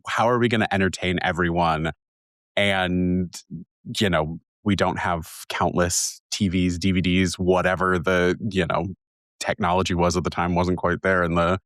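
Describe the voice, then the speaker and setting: A man's voice: low-pitched, fairly bright tone, no room sound, clean studio sound. A man hosting a conversational podcast interview in a casual, chatty way.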